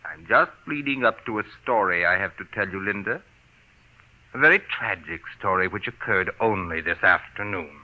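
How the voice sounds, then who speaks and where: An actor playing a smug, possessive, murderous husband in a 1940s radio thriller, suave, condescending and theatrical. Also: male voice, on the low side, expressive, moderate pace, lightly acted. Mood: smug menace. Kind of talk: 1940s radio suspense acting. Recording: noisy, muffled old radio recording.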